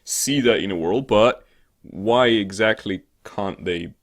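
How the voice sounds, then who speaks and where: A man with a fairly low voice co-hosts a casual internet talk show. His commentary is conversational and halting, often searching for the right word as he goes.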